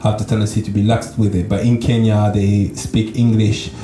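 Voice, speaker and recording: A man's voice: lowish voice, flat delivery, normal speed, reverberant. A man who grew up speaking French, with a slight French accent, chatting casually as co-host and often restarting his sentences. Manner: repeats himself, restarts often. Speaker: podcast co-host. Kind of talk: casual chat.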